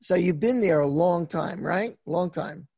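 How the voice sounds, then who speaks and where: A man's voice: sits high, measured pace, creaky, dark tone, slightly rough, dry recording, clean studio sound. A man hosting a podcast interview, asking folksy questions with frequent restarts and fillers. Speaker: podcast host.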